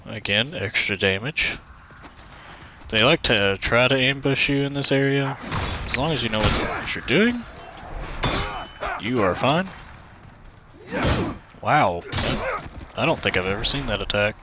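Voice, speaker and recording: A man's voice: medium pitch, lively intonation, unhurried, long pauses, background noise. A man with a somewhat nasal voice giving laid-back, joking commentary on a game walkthrough through a headset mic that pops and cracks. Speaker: gaming channel host.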